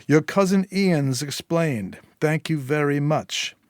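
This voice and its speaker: A man's voice: fairly high pitch, animated, no room sound, clean studio sound, voice pushed up. Man acting a coldly polite crime boss. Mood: menacing politeness. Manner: formal, measured, commanding. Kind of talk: dramatised story reading.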